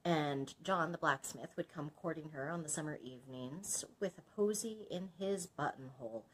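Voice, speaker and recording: A woman's voice: deep voice, moderate pace, slight background noise, poor audio. A woman librarian reading a children's story aloud expressively, in an instructive manner suited to young listeners. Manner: instructive, explains old words to young listeners. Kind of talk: expressive storybook narration with explanatory asides.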